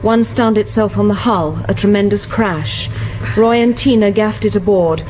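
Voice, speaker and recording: A woman's voice: medium pitch, normal speed, a little mellow, faint music behind, lightly acted. An actress playing a thoughtful woman scientist in a radio thriller, reading. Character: British woman marine biologist reading a diary aloud.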